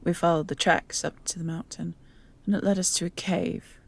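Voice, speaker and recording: Woman acting a dutiful chief hunter serving a mistress, uneasy, formal, deferential, somewhat low breathy voice, fantasy audio drama. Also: steady tone, normal speed. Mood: uneasy, reporting. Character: dutiful, nervous huntsman leader serving a mistress.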